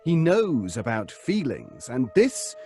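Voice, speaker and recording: A calm serious shrink, measured, lively pitch, soft music behind. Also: male voice, exaggerated voice. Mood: grave. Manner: measured, advising. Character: calm, grave male psychologist. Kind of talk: live audio drama acting. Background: faint live music.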